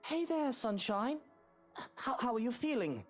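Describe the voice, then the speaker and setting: An actress voicing a solicitous, take-charge character in a visual novel. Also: female voice, a little high, very animated, measured pace, soft background music. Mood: caring, gentle.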